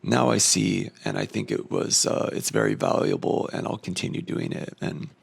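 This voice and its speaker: A man's voice: on the low side, fairly even intonation, moderate pace. A man losing his voice and tired, talking in a thoughtful, rambling personal monologue with many fillers. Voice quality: hoarse, gravelly. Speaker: vlogger.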